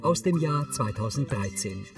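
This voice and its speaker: A man's voice: medium pitch, expressive, moderate pace, vocal fry, over music. A man reading scripted audio description in a matter-of-fact, articulate way. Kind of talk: scripted narration.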